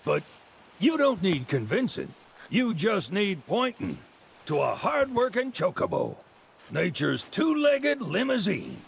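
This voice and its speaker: A folksy old Southern American rancher for a video game. His voice is high and gravelly, swinging up and down as he lays on chipper salesman patter. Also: wildly swooping pitch, slow, slight background noise, exaggerated voice. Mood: showy sales pitch. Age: older. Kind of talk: video game cutscene acting.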